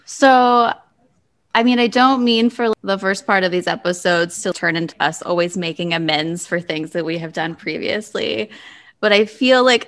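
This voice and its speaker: A woman's voice: medium pitch, a bit bright. A woman hosting a casual podcast chat, talking in a giggly, self-deprecating way. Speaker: podcast host, readers and writers librarian. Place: remote video call recording.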